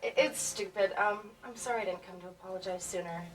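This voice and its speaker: A woman's voice: mid-range voice, quick pace, lightly acted. An actress playing a shy, earnest woman who talks hesitantly and stammers through apologies, recorded from a distance with faint noise behind. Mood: nervous. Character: shy, earnest young woman, easily embarrassed. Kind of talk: film dialogue.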